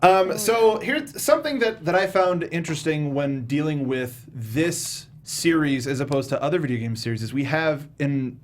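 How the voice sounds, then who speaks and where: A man hosting a casual panel film review, talking in an animated, slangy and emphatic way. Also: on the high side, moderate pace, few pauses, slight background noise. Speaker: host of a film review show.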